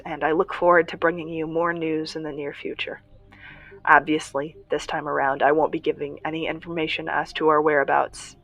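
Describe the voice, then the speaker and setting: An earnest young survivor played by a woman speaks to listeners of a makeshift radio show in an audio drama. The voice sits slightly low, reflective and confiding, with halting pauses between thoughts. Music plays behind the monologue. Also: a bit bright, lo-fi sound. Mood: quietly hopeful. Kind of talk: first-person audio drama monologue, podcast-style confiding.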